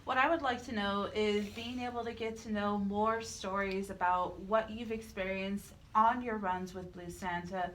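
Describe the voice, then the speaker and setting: Mid-pitched female radio co-host. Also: steady tone, normal speed, few pauses, a little hiss or hum, low-quality recording. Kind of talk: conversational radio presenting.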